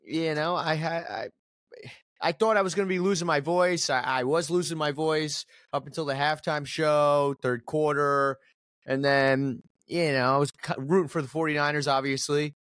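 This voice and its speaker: A man's voice: a little high, steady tone, moderate pace, fairly bright tone, no echo, studio quality. A man hosting a podcast in casual sports chat, talking emphatically, repeating words and swearing casually. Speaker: podcast host.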